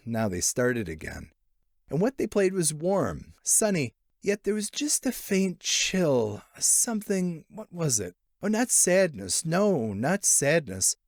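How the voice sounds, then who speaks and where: A man narrating a literary short story in an expressive, theatrical way. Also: fairly high pitch, a little slow, no echo, clean studio sound. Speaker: audiobook narrator.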